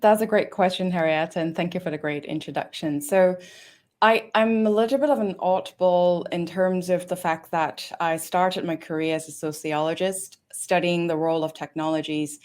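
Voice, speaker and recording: Interview guest: woman, Hong Kong accent, lower voice, earnest and thoughtful. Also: moderate pace, darkish tone. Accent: Hong Kong English with London influence. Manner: thoughtful, earnest, with some restarts. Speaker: guest, industry director. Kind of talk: conversational interview answers.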